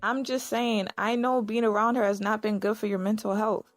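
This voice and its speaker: A woman acting a sharp-tongued, jealous but caring lover in naturalistic audio drama dialogue. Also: mid-range voice, little pitch movement, moderate pace, lightly acted. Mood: concerned. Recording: music behind the voice.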